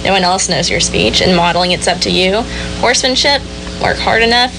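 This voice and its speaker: A woman's voice: mid-range voice, a little fast, gravelly, rough recording. A young adult woman giving casual answers as a guest in a radio interview. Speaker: rodeo queen titleholder being interviewed. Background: music.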